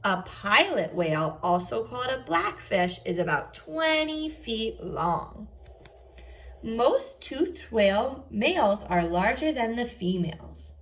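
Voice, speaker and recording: A woman's voice: mid-range voice, expressive, slow pace. A woman teacher reading aloud to young children, enunciating carefully and adding short explanatory asides. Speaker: first grade teacher.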